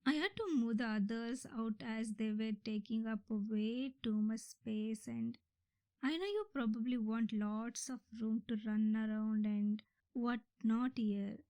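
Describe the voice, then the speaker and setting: A high, unhurried voice in a dry, close recording: a woman acting out a theatrical, lovesick yandere sister in a comic audio roleplay. She is indignant and obsessive, rambling on with exasperated melodrama. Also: dark tone, dry recording, lightly acted. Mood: doting, boastful. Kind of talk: ASMR comedy audio roleplay.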